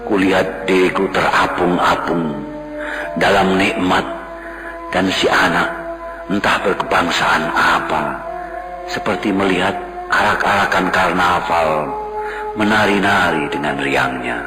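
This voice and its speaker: A man giving a solemn, contemplative poetry recital in a slow, devotional manner. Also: low voice. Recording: roomy echo with music clearly underneath.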